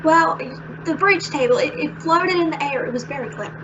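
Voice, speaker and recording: A woman playing an earnest, gently scolding wife and mother in an old-time radio sitcom, with a hesitant, fretful delivery. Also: high-pitched, fairly quick, close to an everyday voice. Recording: muffled recording with clear noise.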